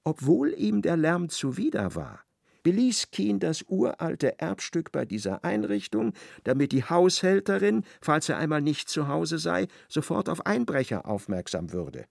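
A man narrating a radio play in an ironic, expressive storytelling manner. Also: fairly high pitch, unhurried, vocal fry, no echo, studio quality.

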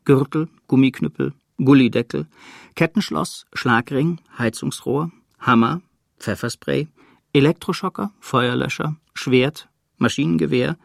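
A man with a gravelly voice reading out lists as a radio drama announcer, item by item. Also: mid-range voice, unhurried, dry recording, studio quality. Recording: voice over loud music. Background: loud music.

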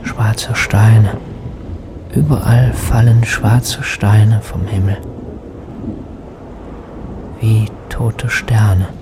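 Rain and thunder surround a haunted man who speaks extremely slowly. His hushed, dreamlike radio drama monologue comes in halting fragments, pitched on the low side. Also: noisy background. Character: haunted man recalling a strange stormy night.

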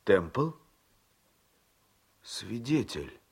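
Deep, husky-voiced official. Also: male voice, big pitch swings, extremely slow, heavily acted. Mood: attentive, polite. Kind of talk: radio-play dialogue.

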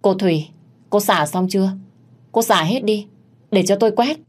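Lady narrator as a feisty young maid in an audio story, stubborn, talks back, sharp tongue. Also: medium pitch, moderate pace, pitched above the performer's own voice, lightly acted. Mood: irritated. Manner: retorting, stubborn.

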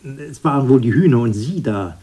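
A haunted brother in a radio play, bitter about his childhood. The voice is husky and muted, and it swings widely between brooding murmurs and sharp intensity. Phrases come out broken. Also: medium pitch, animated, moderate pace. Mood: brooding, remembering. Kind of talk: radio drama acting.

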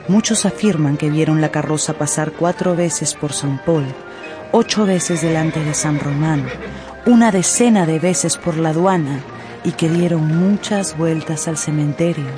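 A narrator telling a radio drama story in an expressive, theatrical way with drawn-out emphasis. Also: medium pitch.

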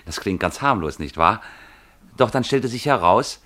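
A newspaper clerk in a radio drama, polite but immovable. His low voice moves with lively inflection through fussy, deliberate, matter-of-fact phrases. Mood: dismissive, explanatory. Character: stolid, fussy male newspaper advertisement clerk, politely dismissive. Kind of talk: German radio play acting.

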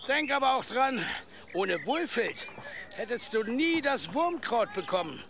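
A man's voice: very high-pitched, big pitch swings, a little slow, sharp tone, heavily acted. An actor playing a bitter, defensive deposed village headman in a radio drama, indignant and accusing.

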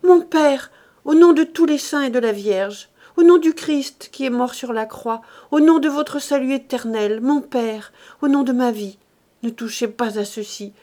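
A defiant young heroine from a classic novel , performed by a woman narrator. She pleads in a high, emphatic voice, desperate yet unbending, with a declamatory delivery. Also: a little slow, warm tone, lightly acted. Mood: pleading.

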